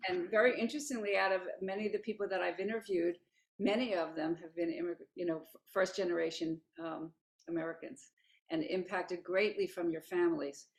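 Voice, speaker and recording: A woman's voice: on the low side, steady tone, fairly quick, low-quality recording. A woman hosting an interview, steering the talk firmly with structured questions. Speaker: interview host.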